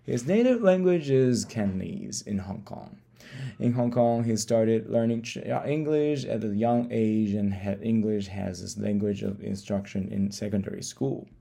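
A man hosting a podcast interview with halting delivery, often stumbling over and repeating words, in a gravelly voice. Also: fairly low pitch, warm tone. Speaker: podcast host. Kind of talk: interview, reading a guest introduction then asking questions.

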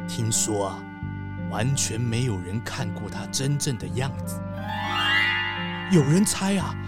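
An excitable, gossipy mayor who loves to show visitors around, in a children's radio play. The voice is high and swings up and down, stretching words in over background music. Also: male voice. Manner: exclaiming, drawn-out words, gossipy. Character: chatty, excitable town mayor, proud local guide. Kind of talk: children's radio drama acting.

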